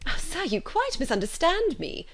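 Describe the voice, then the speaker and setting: A woman voicing a fretful, excitable middle-aged mother in a storybook, insistent and flustered. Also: sits high, normal speed, voice pushed up, full character voice. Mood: alarmed, insistent.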